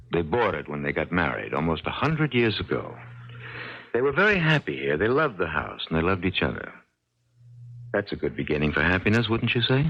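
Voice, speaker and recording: An actor playing a kindly, folksy man of the house who is fond of his cat, unhurried, in 1970s radio drama style. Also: deep voice, breathy edge, full character voice. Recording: dull 1970s radio broadcast recording.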